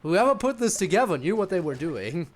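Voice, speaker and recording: A man's voice: sits high, very animated, brisk. A man giving casual live gameplay commentary, rambling and musing aloud to viewers. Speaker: game streamer.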